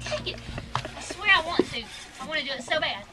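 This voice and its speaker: A middle-aged woman chatters and squeals on a small boat out at sea. Her very high voice swings about with nervous excitement. Loud music plays over the camcorder sound. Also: fast talker. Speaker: mom on a fishing trip. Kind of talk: casual home video chatter.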